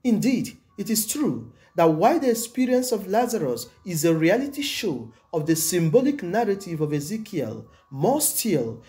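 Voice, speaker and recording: Mellow-voiced priest with lively intonation. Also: male voice, a little high. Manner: measured, solemn, formal delivery. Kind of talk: scripted homily. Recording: music behind the voice. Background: background music.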